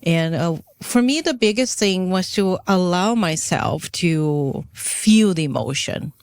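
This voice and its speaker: Woman cohosting a podcast, reflective, lots of fillers, low-ish voice. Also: animated, slow pace, a little mellow, smooth, no room sound, clean studio sound. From Brazil. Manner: reflective, searching for words, many fillers. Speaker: podcast co-host. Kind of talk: casual spiritual chat.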